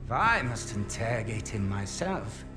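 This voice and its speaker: Casting: volatile Nazi commander, swooping from hushed menace to roaring, war game drama, music behind. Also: male voice, lowish voice, very animated, normal speed. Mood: cold fury. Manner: menacing, swinging from hushed to explosive. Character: furious, menacing Nazi senior officer. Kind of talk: cinematic war game acting.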